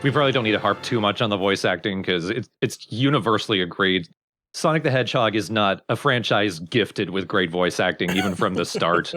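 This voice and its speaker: A man's voice: on the low side, a little fast, fairly bright tone. A man giving casual, opinionated commentary on a video game among friends, explaining what he thinks of it. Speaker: let's play co-commentator. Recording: game music behind the voice. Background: video game music.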